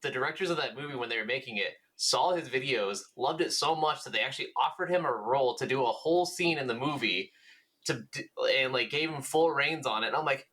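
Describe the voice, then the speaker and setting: A man's voice: medium pitch, fairly quick, bright, forceful tone, breathy edge, rough recording. A man co-hosting a podcast in casual, slangy chat, often acting out what people would say. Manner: slangy, uses filler "like" and acts out quotes. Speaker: podcast co-host.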